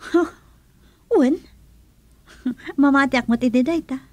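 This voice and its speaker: A woman's voice: high-pitched, slow pace, no echo. A woman with a Filipino accent playing a hurt, indignant young woman in a radio drama. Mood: hurt, tearful.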